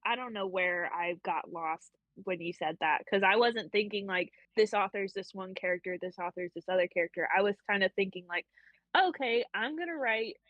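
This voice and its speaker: A woman's voice: medium pitch, moderate pace, no room sound, lo-fi sound. A young woman co-hosting a casual book club chat, rambling and self-deprecating with frequent laughs. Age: young adult.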